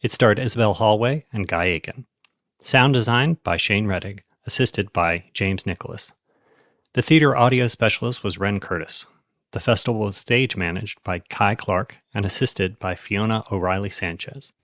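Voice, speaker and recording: A man's voice: fairly low pitch, normal speed, fairly bright tone, no room sound, clean studio sound. A man reading scripted credits and introductions as the announcer for a theater festival.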